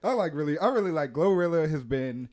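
A man's voice: fairly high pitch, fast talker. A man in his late twenties co-hosting a casual podcast chat, explaining his views with many fillers. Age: 20s. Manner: animated, hesitant with fillers when explaining. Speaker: podcast co-host.

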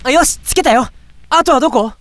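A woman voicing an eager, bossy-playful twenty-year-old man in an energetic, brisk audio drama performance. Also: high voice. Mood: brisk, confident. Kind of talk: Japanese audio drama acting.

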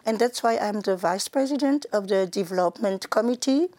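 A woman's voice: medium pitch, normal speed, mellow. Woman speaking hesitant non-native English. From Senegal. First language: Wolof or other languages of Senegal. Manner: hesitant, many fillers and restarts, laughs readily. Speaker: Member of the European Parliament, guest.

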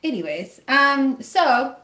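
A woman co-hosting a casual talk show, speaking in a lively, animated way with frequent giggles. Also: high voice, slow pace, echoey room. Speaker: podcast co-host. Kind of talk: casual chat.

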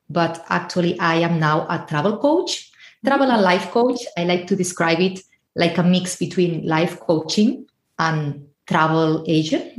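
A woman in her forties from Spain, with a Spanish accent, telling her own story conversationally as a podcast guest. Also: fairly low pitch. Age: 40s. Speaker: podcast guest, travel and life coach. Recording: roomy, echoey sound.

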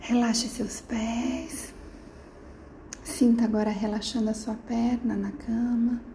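Slow, soothing woman guiding sleep. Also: a little high, slight background noise. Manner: slow, soft and soothing, with gentle pauses. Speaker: meditation guide. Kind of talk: guided sleep meditation.

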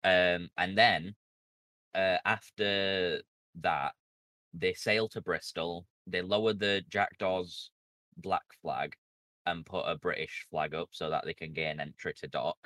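A male podcast co-host with a low voice who recaps game plots step by step. He corrects the others in a casual chat. The recording is dry and close. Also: steady tone, slow, pushed and bright, no room sound.

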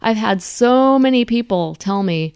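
A woman's voice: on the high side, animated, a little slow, a little mellow, clear, resonant tone, no echo, clean studio sound. A woman guest in a casual interview, chatting with many fillers and frequent laughs.

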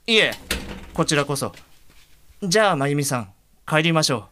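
A man's voice: fairly high pitch, lively intonation, moderate pace, pushed and bright, slight background noise. A man acting in an audio drama as a courteous, upright blind man who speaks formally and earnestly. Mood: subdued, polite.

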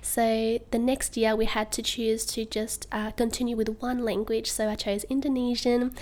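Young female podcast guest from Australia, bubbly, laughs a lot, slightly high voice. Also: flat delivery, moderate pace, darkish tone. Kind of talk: casual interview chat.

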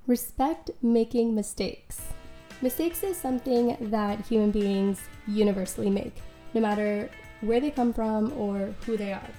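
A woman's voice: on the high side, unhurried, mellow, soft background music. A woman hosting her own podcast, talking earnestly through ideas and quotes, her voice a little worn from being unwell. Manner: earnest, explanatory, quotes and examples. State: recovering from lost voice. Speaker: podcast host, life coach. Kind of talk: solo podcast talk. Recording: echoey room.

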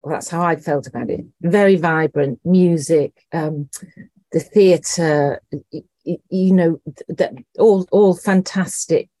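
A middle-aged woman from Liverpool, answering interview questions thoughtfully and haltingly as she searches for words. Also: sits low, mellow, dry recording. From Liverpool, England. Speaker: interview guest, writer and actress.

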